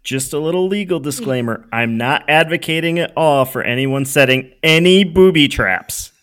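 A man's voice: fairly high pitch, moderate pace, fairly bright tone, clear, resonant tone. A man on a podcast panel, casually brainstorming in a comedic, conversational way.

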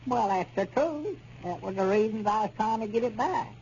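An actor playing a naive, plaintive old country storekeeper with a rural Arkansas Southern accent in a 1930s radio comedy. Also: male voice, high-pitched, lively intonation, moderate pace, darkish tone. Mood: defensive. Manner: folksy, plaintive, simple-minded. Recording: dull, noisy 1930s radio recording.

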